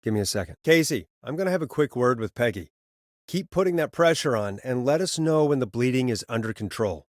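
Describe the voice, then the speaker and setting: A man's voice: mid-range voice, lively intonation, brisk, no room sound, studio quality, natural voice. A man playing an earnest radio host in a video game, conversational and naturalistic, guiding people through emergencies. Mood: calm, urgent.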